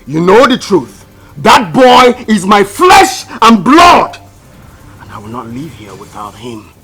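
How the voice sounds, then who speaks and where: A man's voice: lowish voice, fairly even intonation, fairly quick, close to an everyday voice, low-quality recording. A man with a Nigerian accent playing a defiant, stubborn father, making emphatic declarations in dramatic film style. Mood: defiant, intense. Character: defiant, stubborn man claiming his son. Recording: loud music under the dialogue.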